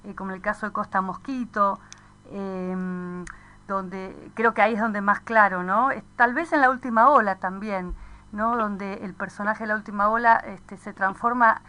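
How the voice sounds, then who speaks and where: A woman's voice: medium pitch, steady tone, moderate pace, pushed and bright. A woman in a conversational radio discussion, thinking aloud with hesitations and frequent tag questions.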